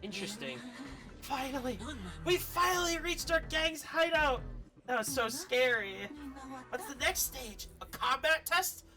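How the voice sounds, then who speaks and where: A woman streaming a game, giving expressive, casual commentary and reading dialogue aloud, sometimes swearing. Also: sits high, unhurried. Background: faint video game music.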